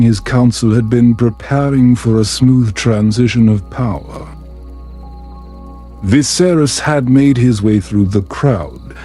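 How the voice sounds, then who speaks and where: A man narrating a bedtime story in a calm, soothing, measured manner. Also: mid-range voice.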